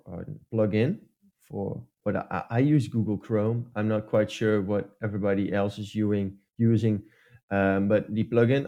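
A man with a Dutch accent gives advice in a loose, halting way, full of "uh" and "like" and restarts. His voice sits fairly low and sounds mellow and dark. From Netherlands. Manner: hesitant, many fillers and restarts. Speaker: podcast co-host. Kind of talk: casual advice chat.

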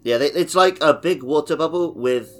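A man's voice: a little high, moderate pace. A man co-hosting a podcast, chatting casually about a video game. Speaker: podcast co-host. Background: background music.

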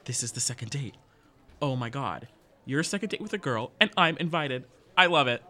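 Man playing a lively, teasing teenage guy. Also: medium pitch, a little fast. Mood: gleeful, teasing. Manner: animated, teasing. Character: playful, teasing teenage best friend, chatty and excitable. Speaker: voice actor. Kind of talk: fiction podcast dialogue.

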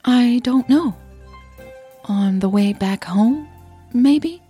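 A woman who is a native Dutch speaker, voicing a shy but playful, proud girlfriend in an audio story, softly earnest. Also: a little high, lively intonation, drawn-out words, husky, faint music behind, voice pushed up, full character voice. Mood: unsure.

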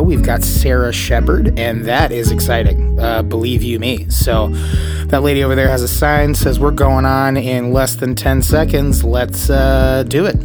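Chatty, folksy warm-up announcer played by a man, rambling and hesitant, talk-show skit, loud guitar underneath. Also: medium pitch, lightly acted. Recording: loud guitar music behind. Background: guitar music.

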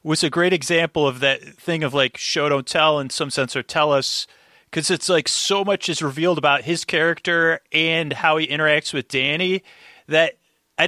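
A man chatting casually as a podcast guest, halting and often interrupting himself, close on the microphone. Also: fairly high pitch, pushed and bright, no room sound. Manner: rambling, halting, self-interrupting. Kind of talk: casual recap podcast chat. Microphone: close, dry podcast microphone.

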